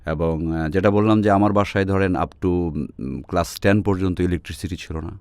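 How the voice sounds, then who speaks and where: A man's voice: deep voice, normal speed, darkish tone, dry recording. A man from Bangladesh with a Bangladeshi accent, telling personal stories as an interview guest and often switching into English phrases. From Netrokona, Bangladesh.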